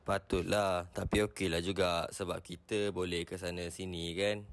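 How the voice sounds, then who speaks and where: A man's voice: deep voice, level tone, moderate pace. A young man with a Malaysian accent playing a casual housemate in an amateur animation. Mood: curious. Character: casual young male housemate just home from work. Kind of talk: scripted amateur animation dialogue.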